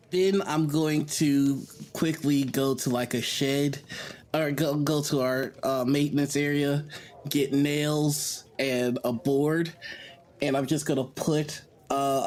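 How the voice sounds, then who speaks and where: A man's voice: a little high, a little mellow. A man playing a tabletop game, chatting casually and playfully as he describes his character's actions, with some swearing.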